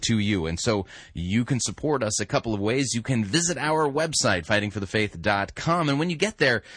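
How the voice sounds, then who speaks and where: An American man hosting a casual radio show, energetic and rambling, often breaking off and restarting his sentences. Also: on the low side, no room sound, studio quality. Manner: energetic, rambling, self-interrupting. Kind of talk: casual listener-supported radio talk.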